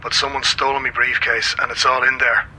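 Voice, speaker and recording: An actor playing an anxious, insistent news source calling on the phone in a radio play. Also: male voice, mid-range voice, little pitch movement, fairly quick, sharp tone, a little hiss or hum, low-quality recording. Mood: anxious, urgent. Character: anxious, urgent male news source on telephone. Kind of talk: naturalistic radio drama acting.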